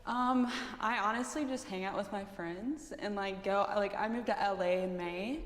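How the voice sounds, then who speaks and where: A woman's voice: medium pitch, normal speed, roomy sound, long reverb tail. Giggly young woman, lots of "like". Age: 20s. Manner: casual, giggly, full of "like". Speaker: nanny on a reality panel. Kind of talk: talking on a filmed panel video.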